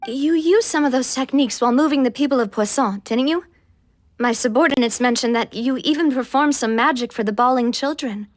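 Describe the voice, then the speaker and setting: A woman voicing a bubbly young leader in a game, playful and quick to laugh. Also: high-pitched, dry recording, studio quality, lightly acted. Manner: lively, playful, laughs often.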